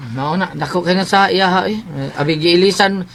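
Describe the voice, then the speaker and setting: A woman's voice: on the low side, moderate pace, slight background noise. A woman with a Cebuano accent in a casual advice chat, insistent and repeating her points. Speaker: participant in advice discussion.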